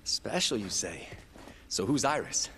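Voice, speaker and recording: An actor playing a sardonic, streetwise survivor in a zombie video game, sarcastic and skeptical in manner. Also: male voice, lowish voice, expressive, unhurried, bright, forceful tone, a little hiss or hum, full character voice. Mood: dry, skeptical. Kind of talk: video game character acting.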